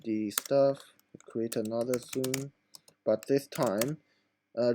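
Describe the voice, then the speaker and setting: A hoarse man who is losing his voice, talking through a screen-recorded computer tutorial in a halting, informal way with many fillers. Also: medium pitch, warm tone.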